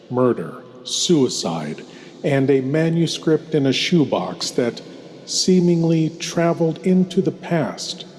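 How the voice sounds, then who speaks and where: A man hosting a horror show with theatrical, ominous scripted narration and deliberate dramatic pauses. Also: mid-range voice, darkish tone, slight background noise. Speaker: horror podcast host. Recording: long hall reverb, faint music behind.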